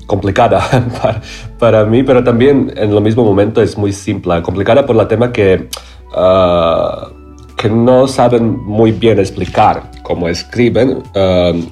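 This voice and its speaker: A man from Croatia, a playwright, speaking haltingly in an as an interview guest, often searching for words. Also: fairly low pitch, dark tone. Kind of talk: interview conversation.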